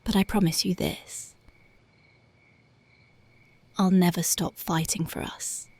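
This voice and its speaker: A dreamy young punk girlfriend from an ASMR roleplay, voiced by a woman. Her voice is gravelly and husky, and her words come unhurried and soft. She keeps sinking into close, devoted whispers. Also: on the low side, steady tone, no room sound, exaggerated voice. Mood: tender, dreamy. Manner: soft, often drifting into whispers. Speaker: ASMR voice actress.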